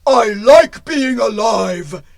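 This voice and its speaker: A woman voicing a hulking stone golem servant in a storybook reading, with a loud, slow, insistent growl. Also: on the high side, lively intonation, vocal fry, no echo, exaggerated voice.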